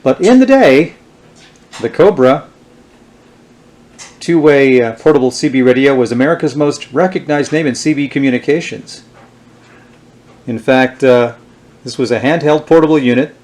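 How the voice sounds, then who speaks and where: A man with a medium-pitched voice presents an informal product demonstration. He speaks without a script, in a halting and unhurried way, as someone showing items piece by piece. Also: a little mellow. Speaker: presenter showing vintage radio gear. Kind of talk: unscripted show-and-tell demonstration.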